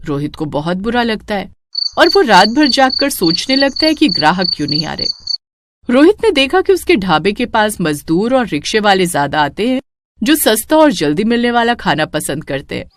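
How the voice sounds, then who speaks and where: Expressive woman narrating a story. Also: mid-range voice, normal speed.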